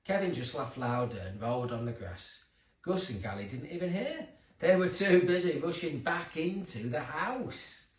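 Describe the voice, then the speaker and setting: Animated male storyteller in an echoey room. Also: fairly high pitch. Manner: expressive, dramatic emphasis for young listeners. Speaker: storybook reader. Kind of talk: children's story narration. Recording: roomy echo, distant microphone.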